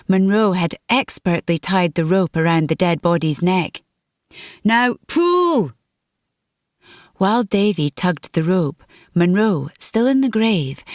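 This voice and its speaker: Low-toned woman narrating an audiobook. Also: a little mellow, no room sound, studio quality. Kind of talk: scripted dramatic narration of gothic fiction.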